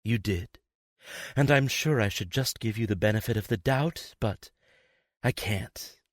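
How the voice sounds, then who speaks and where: A man's voice: mid-range voice, big pitch swings, moderate pace, no echo, studio quality, voice pushed up. A man voicing a bitter, betrayed young woman in an audiobook, sarcastic and accusing, his voice raised well above his own. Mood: hurt. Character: furious betrayed young woman, sharp and scornful. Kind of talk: audiobook character voice.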